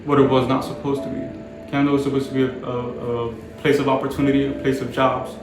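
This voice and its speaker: Young man from the Dominican Republic, 20s; measured, even delivery, very roomy echo and music under. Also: mid-range voice. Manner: measured and explanatory. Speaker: planning graduate and local resident. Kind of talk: documentary interview. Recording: very echoey room with music behind.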